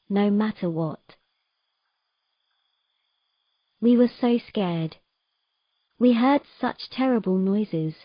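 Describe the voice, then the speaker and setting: A woman playing a sorrowful young mermaid in an audio drama, telling of her past in a gentle, measured, halting way. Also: mid-range voice, no room sound, dull audio. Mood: hushed, fearful.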